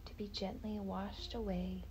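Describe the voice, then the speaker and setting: A woman leading a guided meditation in a soft, breathy, half-whispered voice, gentle, soothing and unhurried. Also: medium pitch, level tone, slight background noise, poor audio.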